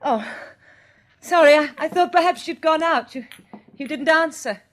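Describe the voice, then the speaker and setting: An actress playing a genteel British woman surviving an apocalypse in a radio drama, expressive and a little hesitant. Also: high-pitched, moderate pace, lightly acted. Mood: light, inquiring. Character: genteel, anxious but playful female apocalypse survivor.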